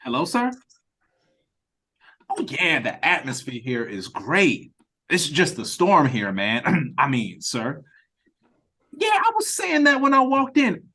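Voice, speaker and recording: A man playing a chatty, cheeky customer in an audio drama read-through, with exaggerated politeness and hesitant pauses. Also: on the high side, wildly swooping pitch, pushed and bright, husky, full character voice. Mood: chatty, polite. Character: chatty, cheeky, hungry male restaurant customer. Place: remote reading over a video call. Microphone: video call.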